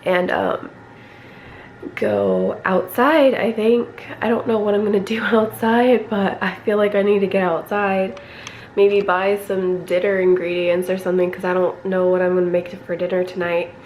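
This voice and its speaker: A young woman talks casually at home, her voice raspy from a cold she is still getting over. She rambles a bit and keeps clearing her throat. A faint hiss sits under the recording. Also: mid-range voice, level tone, moderate pace, darkish tone. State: recovering from a cold, coughing. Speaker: vlogger. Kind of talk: casual vlog chat. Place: at home, indoors.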